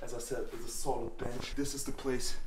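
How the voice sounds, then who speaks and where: A man's voice: medium pitch, level tone, fairly quick. Slangy young man in noisy camera audio. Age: young adult. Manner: laid-back, slangy, swears often. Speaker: fitness vlogger. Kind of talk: casual vlog talk. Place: covered outdoor home gym at night.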